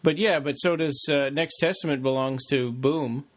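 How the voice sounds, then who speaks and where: A man with a voice pitched a little above average. He is a podcast co-host, talking informally with the others in casual conversation. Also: moderate pace.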